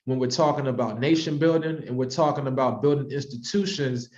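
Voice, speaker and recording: A man's voice: mid-range voice, a little fast, a little mellow. An American man in his thirties giving an informal, conversational and reflective presentation to an online audience. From Washington, D.C., United States. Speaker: author and presenter hosting a virtual book club. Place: online video call. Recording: slightly dull, lo-fi online call audio.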